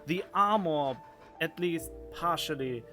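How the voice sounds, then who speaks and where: Male game streamer, informal, rambling commentary, slightly high voice, sweary, soft music behind. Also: slow pace. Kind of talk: casual gameplay commentary.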